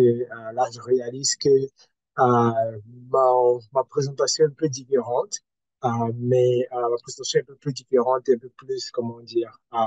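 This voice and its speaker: A man's voice: mid-range voice, a little mellow, dry recording, muffled recording. A young man from Cameroon with a Cameroonian French accent, speaking as a panelist and sharing personal stories in a hesitant way with many filler sounds.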